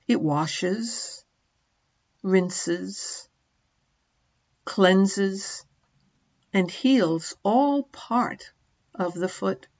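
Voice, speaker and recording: A middle-aged woman narrating a guided meditation as a teacher, gently and in short measured phrases with long pauses. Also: on the low side, highs cut off.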